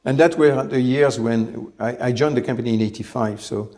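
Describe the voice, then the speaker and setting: A man, telling his life story in an interview, measured and reflective, often pausing to find his words. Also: mid-range voice, a little mellow. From France. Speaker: company head, interview guest.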